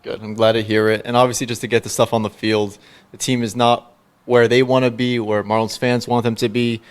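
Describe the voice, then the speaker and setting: A man's voice: mid-range voice, level tone, fairly quick. A man hosting a sports podcast, asking questions in a polite, conversational way. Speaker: podcast host, interviewer. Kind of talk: casual sports interview.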